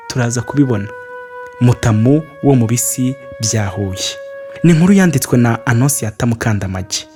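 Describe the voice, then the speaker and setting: A man's voice: mid-range voice, a little mellow. A man reading a storybook and addressing children directly as their storyteller.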